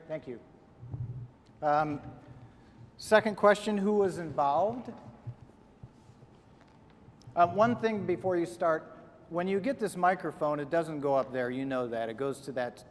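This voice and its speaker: A man moderating a community seminar, giving brisk instructions. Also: fairly high pitch, long reverb tail, slight background noise. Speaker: seminar facilitator.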